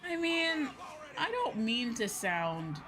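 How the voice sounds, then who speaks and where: A young woman chatting casually on a game livestream, rambling, expressive and informal, swearing freely. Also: fairly high pitch, slow, a crowd in the background, background noise. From outside Japan. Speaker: VTuber game streamer. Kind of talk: casual livestream chat over a video game. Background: game music.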